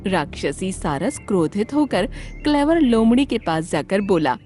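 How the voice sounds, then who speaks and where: A woman's voice: mid-range voice, sing-song, unhurried, darkish tone, soft background music. A woman narrating a scripted children's animal story in a dramatic storytelling style.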